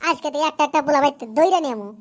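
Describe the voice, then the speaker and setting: Cartoon schoolgirl played by a man: high-pitched, rapid, love-struck, cheeky, rural Bangladeshi accent. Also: lively intonation. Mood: pleading, cheeky. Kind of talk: comic cartoon dialogue.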